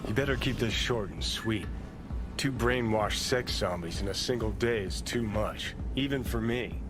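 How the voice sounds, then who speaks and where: A man's voice: on the low side, moderate pace, lightly acted. Sardonic, jaded actor as a cantankerous man. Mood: irritated. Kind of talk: video game dialogue. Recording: music behind the voice.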